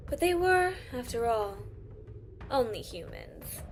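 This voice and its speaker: A woman voicing a haughty siren empress in a fantasy audio drama, regal, theatrical and deliberate. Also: a little high, sing-song, faint music behind. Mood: coolly weighing.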